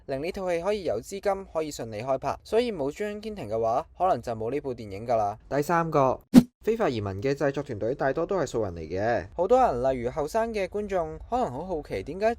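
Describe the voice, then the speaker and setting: A man's voice: a little high, big pitch swings, fast talker, a little mellow, a little hiss or hum. A man with a Hong Kong Cantonese accent presents scripted film trivia as an online channel host.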